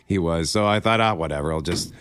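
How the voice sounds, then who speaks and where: A man's voice: deep voice, fast talker, fairly bright tone, smooth, no room sound, clean studio sound. A man co-hosting a morning radio show in casual chat, rambling and correcting himself, chuckling now and then. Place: radio studio. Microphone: studio microphone.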